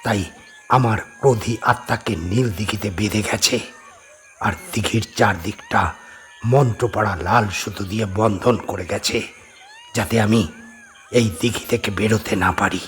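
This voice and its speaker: A man playing a low-voiced, wrathful holy man's spirit. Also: fairly even intonation, moderate pace, pauses often, a bit bright, faint music behind, close to an everyday voice. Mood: grim, resentful. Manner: emphatic, solemn, commanding. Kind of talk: audio horror drama acting.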